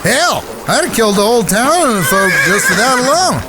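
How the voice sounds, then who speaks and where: An actor playing a brash, hot-headed outlaw with folksy, profane talk in an Old West audio drama. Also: male voice, very high voice, very animated, fairly quick, heavy background noise. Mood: overjoyed. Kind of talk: western audio drama acting.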